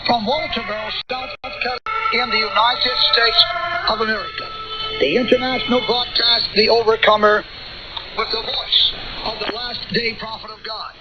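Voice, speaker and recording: A man's voice: very high voice, normal speed, loud music behind the voice. A man delivering a grandiose, declamatory introduction to a religious radio broadcast with fervor. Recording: dull, noisy shortwave radio broadcast.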